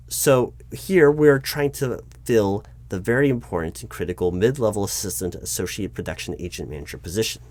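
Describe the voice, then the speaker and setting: Patient low-voiced man running a mock interview. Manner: patient, slightly exasperated, bureaucratic, with frequent ums and restarts. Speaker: recruiting manager in a roleplay interview. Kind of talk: ASMR-style job interview roleplay. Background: keyboard typing.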